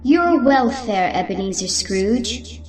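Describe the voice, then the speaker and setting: A woman playing a solemn, commanding, ageless spirit in a radio drama, speaking in a stately, deliberate manner. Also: medium pitch, animated. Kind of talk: theatrical radio drama acting.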